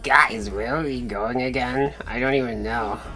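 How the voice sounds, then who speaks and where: A woman's voice: a bass voice, unhurried, sharp tone, soft background music, exaggerated voice. A woman voicing a brash, foul-mouthed, hungry boy trainer in an improvised cartoon fandub, slangy and exasperated. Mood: casual.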